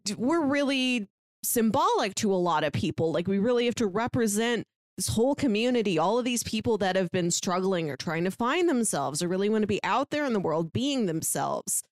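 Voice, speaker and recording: A woman with a mid-pitched voice, recorded dry with no room sound, chats as a podcast co-host. Her commentary is informal, dotted with "like", and she often voices imagined lines as examples. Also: lively intonation, brisk, dry recording. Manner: conversational, peppered with "like". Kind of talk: casual chat, commentary.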